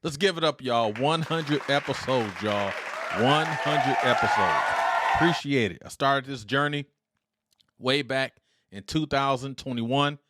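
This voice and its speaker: A middle-aged man hosting his own podcast solo, talking in a loud, confident, upbeat and deliberate way into a dynamic studio mic. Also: medium pitch, over a noisy crowd, heavy background noise. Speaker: podcast host.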